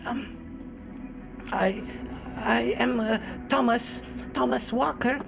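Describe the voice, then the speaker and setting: A man voicing a timid male researcher with a squeaky voice, who stammers through his thanks. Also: gravelly, over music, full character voice. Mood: nervous, grateful. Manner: halting, full of ums and uhs.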